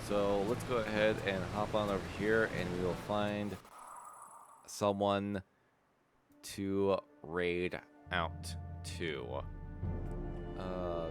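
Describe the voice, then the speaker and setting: A man hosts a relaxed game livestream in a low, lively voice. He rambles, swears and often repeats his own lines, and his voice sounds slightly strained. Game music plays behind him. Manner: rambling, profane, repeats himself. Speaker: livestream host. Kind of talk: casual game stream chat.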